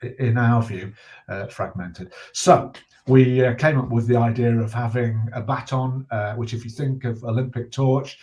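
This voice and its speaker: A man in his sixties speaking as an interview guest, earnest and reflective, choosing his words hesitantly with pauses. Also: medium pitch, fairly even intonation, darkish tone. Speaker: guest, retired journalist and charity campaigner.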